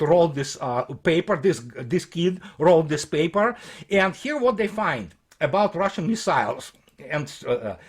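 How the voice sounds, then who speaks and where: A man with a noticeably high-pitched voice delivers off-the-cuff commentary as a military pundit. He is scornful and emphatic. His delivery is halting, full of hesitations and repeated words as he picks apart what he discusses. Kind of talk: unscripted opinionated commentary, quoting and critiquing a report.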